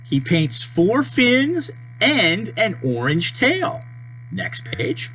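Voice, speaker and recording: A person reading a children's storybook aloud, with deliberate, simple phrasing and page-turn prompts. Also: medium pitch, rough recording. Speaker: storybook reader. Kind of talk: children's read-aloud narration. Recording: steady mains hum behind voice.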